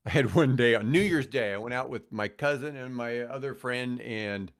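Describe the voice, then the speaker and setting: A man's voice: medium pitch, normal speed. An older American man chatting casually on a podcast as a guest, telling anecdotes in a rambling, conversational way. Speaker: podcast guest, retired radio host.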